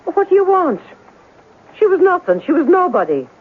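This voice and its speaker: A woman's voice: very high voice, big pitch swings, fairly quick. An actress playing a suspicious, tough boarding house landlady in a radio drama. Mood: hostile, defensive. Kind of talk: 1970s radio drama acting. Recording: tinny old radio broadcast.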